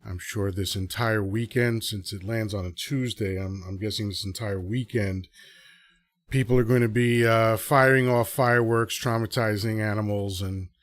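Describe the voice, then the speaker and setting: Male podcast host, fairly low voice, rambling and earnest, dry close recording. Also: fairly even intonation, normal speed, dry recording. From Dominican Republic. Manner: rambling, self-correcting, earnest. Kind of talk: casual solo commentary to a live audience.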